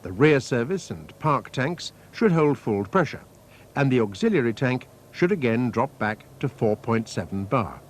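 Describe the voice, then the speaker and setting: Man narrating a technical training film, lively intonation. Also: mid-range voice. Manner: measured, methodical, formal. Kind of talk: scripted technical instructional narration. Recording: faint noise, archival training film soundtrack.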